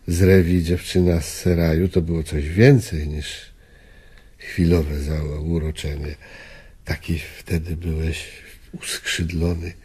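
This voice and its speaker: An older man playing a gentle, melancholy old friend in a radio drama, pensive, probing and a little hesitant. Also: deep voice, slightly rough, exaggerated voice.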